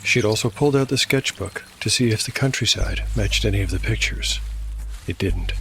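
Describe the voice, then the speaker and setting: A man's voice: on the low side, fairly quick, vocal fry, pushed and bright, a little hiss or hum, poor audio. A man narrating a scripted fantasy tale as the storyteller and game master. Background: music bed.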